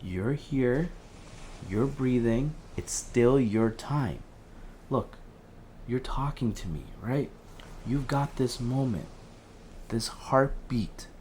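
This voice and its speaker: Audio drama casting: actor as an earnest male crisis-line counsellor, unhurried, insistently reassuring, with faint ambient noise behind him. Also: mid-range voice, lively intonation, a little mellow. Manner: pleading reassurance, frequent tag questions. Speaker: helpline host. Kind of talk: dramatic audio fiction acting.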